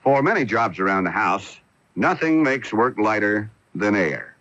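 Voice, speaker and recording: A man's voice: fairly low pitch, very animated, unhurried, fairly bright tone, no room sound. A man reading a scripted radio commercial as a spokesman. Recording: muffled AM radio broadcast recording.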